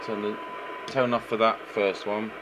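Low-voiced man over clear background noise. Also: unhurried. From United Kingdom. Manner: matter-of-fact, thinking aloud while working. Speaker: radio technician giving a demonstration. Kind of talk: informal hands-on technical demonstration. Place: indoors at a repair bench. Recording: clearly noisy workshop camera recording. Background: steady background noise.

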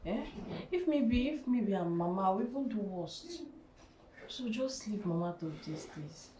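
A woman's voice: medium pitch, expressive, a little slow, natural voice. A woman with a Nigerian accent playing a patient, soothing wife in a film drama. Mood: subdued. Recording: echoey room, distant mic, noisy.

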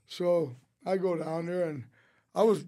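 High-pitched older man spinning anecdotes. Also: unhurried. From St. Louis, United States. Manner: rambling, animated storyteller who quotes others. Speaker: interview guest, restaurateur. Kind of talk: casual anecdotal storytelling. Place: small podcast studio. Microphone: close studio mic on a boom arm.

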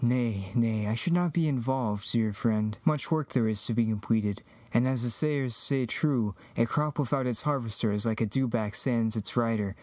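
Young farm boy hero played by a man, medium pitch, earnest, unsure, theatrical, dry recording. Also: normal speed, few pauses, no echo, close to an everyday voice. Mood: reluctant. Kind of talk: Shakespearean dramatic reading.

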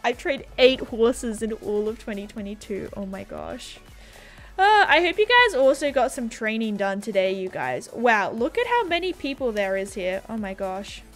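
Young woman, game streamer on a headset, excitable and chatty, a touch higher pitched, soft game music behind. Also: normal speed. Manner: chatty, reads viewer messages aloud, excitable. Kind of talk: casual livestream chat. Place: home room at a gaming setup.

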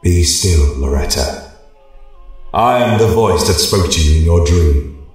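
A deep, echoing, commanding god. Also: male voice, animated, a little mellow. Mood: commanding, solemn. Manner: grand, slow, declamatory. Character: solemn commanding male god of light and humanity. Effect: heavy echo reverb. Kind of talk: scripted fantasy storytelling. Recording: heavily echoing, distant voice. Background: choral music.

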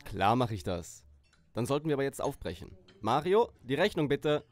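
A man's voice: medium pitch, very animated, normal speed, dry recording, lightly acted. A man playing a bossy, aggressive, smug teenage leader in a parody audio play.

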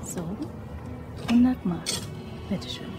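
A woman's voice: medium pitch, slow pace, music in the background, natural voice. An actress playing a guarded, teasing young office woman in a German crime radio play. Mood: matter-of-fact, coy.

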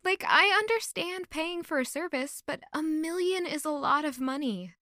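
A woman's voice: sits high, animated, moderate pace, bright, forceful tone, dry recording, clean studio sound. A woman playing a sweet, curious young noble lady in an audio drama, polite and inquisitive.

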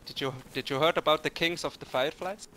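A man from Switzerland with a Swiss accent, chatting casually in slightly halting non-native English during an online game. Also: fairly high pitch, fairly even intonation, pushed and bright. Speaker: video game player. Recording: faint noise over game voice chat.

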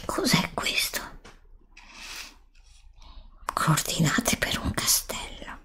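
A woman's voice: a little slow, natural voice. A woman acting a whispering, curious student. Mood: intrigued, defiant. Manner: muttering to herself, chatting to an unseen companion. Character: curious, stubborn female student browsing a library. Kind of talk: ASMR roleplay, whispered.

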